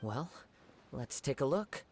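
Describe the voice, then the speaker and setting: Calm, measured young swordsman. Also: medium pitch, background noise. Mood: thoughtful. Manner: measured, formal, articulate. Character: calm, thoughtful young wandering swordsman, observant and courteous. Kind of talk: video game voice acting. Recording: game audio with music behind.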